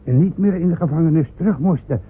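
An actor playing a timid, bumbling old villager who stammers and hesitates, in a radio drama. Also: male voice, a little high, fast, husky. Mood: urgent, earnest. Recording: tinny, noisy old radio recording.

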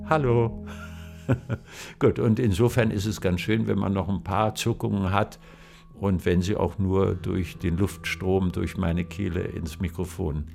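An elderly male actor speaking reflectively and wryly in an interview, in a halting way. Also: on the low side, vocal fry, darkish tone. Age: 70s or older. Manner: wry, halting. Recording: guitar music behind the voice. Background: guitar music.

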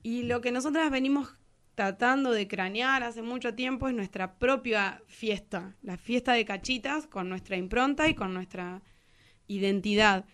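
A woman's voice: on the high side, moderate pace, a bit bright. A woman with a Rioplatense Argentine accent, a musician chatting casually and colloquially as an interview guest. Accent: Rioplatense Argentine Spanish. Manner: colloquial, slangy. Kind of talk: casual radio interview chat.

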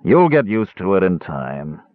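A man's voice: sits low, sing-song, measured pace, dry recording. Man doing an easygoing big gladiator. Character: large calm grinning man gladiator. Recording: tinny phone-like dry audio.

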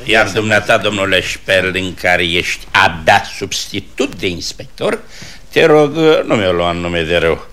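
Forceful, bustling small-town father. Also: low-pitched, lively intonation, exaggerated voice. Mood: pompous. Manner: emphatic, pompous. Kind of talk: mid-century radio comedy theatre acting.

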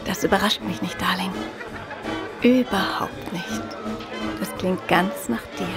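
Eine Schauspielerin spielt in einem Hörspiel die schlagfertige, hartnäckige Ehefrau eines Detektivs, wissend und sanft spöttisch. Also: female voice, medium pitch, measured pace, creaky, over loud music. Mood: teasing, insistent. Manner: knowing, gently mocking. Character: witty, persistent wife of a gentleman detective. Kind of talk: German radio play acting.

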